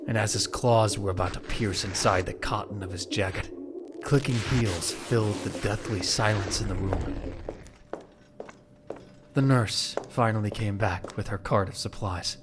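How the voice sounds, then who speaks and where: Male narrator, lower-pitched, dramatic scripted storytelling, with clear background noise and ambience. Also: unhurried.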